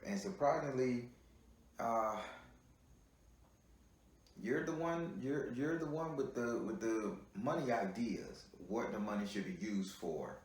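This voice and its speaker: A man giving a tarot card reading on a call, chatty and teasing, repeating his words as he reads the cards. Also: mid-range voice. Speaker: tarot reader. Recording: heavy room echo.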